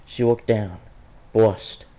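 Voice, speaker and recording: A man's voice: lowish voice, fairly even intonation, slow, dark tone. A man reading fan fiction aloud as amateur storybook narration, stumbling and correcting himself as he goes. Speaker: fan fiction reader for a gaming channel.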